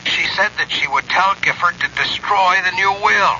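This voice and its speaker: An actor playing a weak, scheming, guilt-ridden husband in a radio drama, nervous, evasive and stammering. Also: male voice, sits high, moderate pace, sharp tone. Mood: desperate, defensive. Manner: agitated, stammering, theatrical. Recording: muffled, hissy old radio recording.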